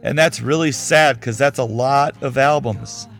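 A man talks alone on his podcast in an easy, frank way, his voice starting to give out. He speaks slowly and unhurriedly. Faint music plays underneath. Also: medium pitch, gravelly, fairly bright tone. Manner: frank, conversational opinions. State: losing his voice. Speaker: podcast host. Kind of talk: casual solo commentary.

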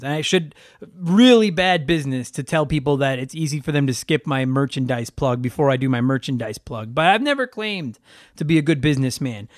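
A man's voice: on the high side, no echo, studio quality. Stuffed-up rambling podcast host man. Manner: rambling, profane, self-deprecating. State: stuffed up from allergies. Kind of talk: casual podcast chat.

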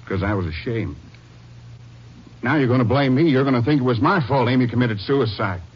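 An actor with an American accent playing an agitated, guilty husband who pleads and makes excuses in a 1950s radio drama. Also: male voice, medium pitch, fairly quick, breathy edge. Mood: defensive, agitated. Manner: pleading, defensive, excitable. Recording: dull old 1950s radio recording.

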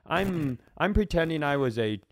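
A man's voice: fairly high pitch, expressive, normal speed, no room sound. A man co-hosting a comedy podcast in casual banter, emphatic and sweary with mock outrage.

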